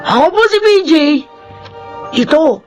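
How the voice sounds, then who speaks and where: A woman's voice: high-pitched, big pitch swings, measured pace, faint music behind. A woman voicing an earnest, pleading young child with a Filipino accent in a radio drama.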